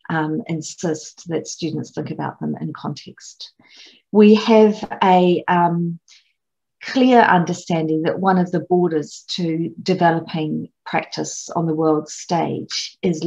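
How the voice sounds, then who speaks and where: A middle-aged woman from New gives an online conference lecture over slides, measured and explanatory, with frequent ums and restarts. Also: sits low, vocal fry, a little mellow, slightly rough, highs cut off. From New Zealand. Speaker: clinical academic presenting at a conference.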